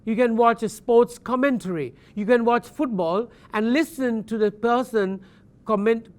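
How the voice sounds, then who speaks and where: English teacher, recorded lecture, patient, repetitive, spells words aloud, switches into Thai, gently encouraging. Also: mid-range voice, unhurried. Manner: patient and repetitive, checks in with "Understand?"; switches between English and Thai mid-sentence. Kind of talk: recorded distance-learning lecture.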